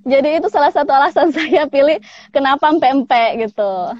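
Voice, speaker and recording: A woman's voice: sits high, animated, moderate pace, darkish tone, no echo, dull audio. A woman from Palembang in her thirties, a food business owner chatting casually in an interview over a video call, with frequent laughs. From Palembang, Indonesia. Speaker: pempek business owner, guest.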